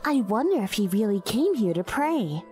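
A woman's voice: medium pitch, big pitch swings, normal speed, mellow, soft background music, natural voice. A woman in an anime fan dub voicing a young female character who muses aloud. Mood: wondering.

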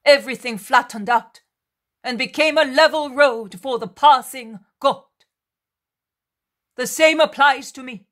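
A woman's voice: high voice, a little slow, pauses often, a bit bright. A woman voicing a stern, commanding male holy prophet from a religious story, declaiming emphatically like an orator. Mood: stern, resolute.

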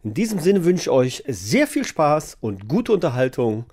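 A man's voice: on the high side, very animated, moderate pace, a little mellow, slightly rough. A man who does some voice acting, giving a spoken greeting to listeners. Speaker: occasional voice actor greeting listeners.

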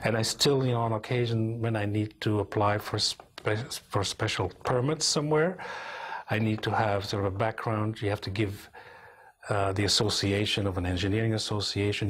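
Unhurried older man, deep voice. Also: a little hiss or hum. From Belgium. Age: 70s. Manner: unhurried, thoughtful storytelling with small restarts. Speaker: interviewee, engineer and company president. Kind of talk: reflective oral history interview. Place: small office, indoors, seated at a desk.

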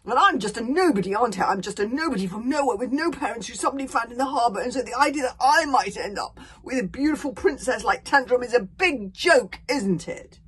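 A woman voicing a furious, berserk young Viking boy who shouts in a high, shrill voice. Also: lively intonation, a little fast, husky.

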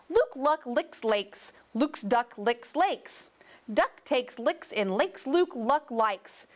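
A woman voicing a playful, insistent male storybook fox who coaxes with tongue-twisting patter. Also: a little high.

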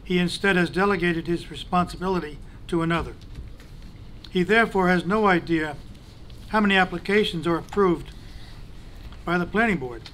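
High-voiced man reading a pointed statement. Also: a bit bright, a little hiss or hum. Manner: measured, pointed criticism, formal. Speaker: council president. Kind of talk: reading a prepared statement at a council meeting. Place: council chamber, large room.

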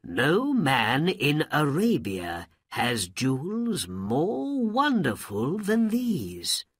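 A woman's voice: low voice, wildly swooping pitch, breathy edge, dry recording, clean studio sound, voice pushed up, exaggerated voice. Woman doing a commanding old sultan. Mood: measured. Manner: commanding, deliberate.

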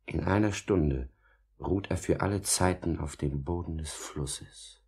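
An actor playing a cold, secretive, impatient villain in a 1950s German radio drama, curt and commanding. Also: male voice, low voice, unhurried, creaky, a little mellow, husky, heavily acted. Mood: cold menace.

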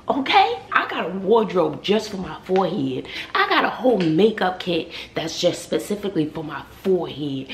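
A middle-aged American woman chatting casually to camera in a sassy, emphatic, comedic way, repeating herself for effect. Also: mid-range voice. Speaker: vlogger. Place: indoors at home, mostly in a kitchen. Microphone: handheld or nearby camera at arm's length. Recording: echoey room with clear background noise.

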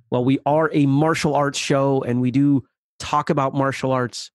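A man hosting a martial arts interview podcast, upbeat and conversational, speeding up and slowing down on key words. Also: mid-range voice, steady tone, dry recording, clean studio sound. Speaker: podcast host. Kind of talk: casual interview with ad reads.

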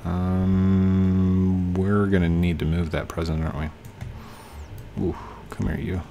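A man's voice: deep voice, flat delivery, slow pace, vocal fry, mellow, slight background noise. A man in his thirties with a slightly tired voice, casually commenting on a building game he plays, recorded on a headset at home. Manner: chatty, talks to game objects, hums while working. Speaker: gaming channel host. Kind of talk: casual let's play commentary. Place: home room, indoors.

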